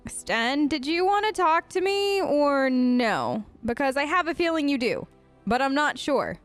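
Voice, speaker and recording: A woman's voice: high-pitched, sing-song, unhurried, soft background music. A woman hosting a role-play let's play, chatting casually in character in an earnest, playful way. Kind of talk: casual in-character game commentary.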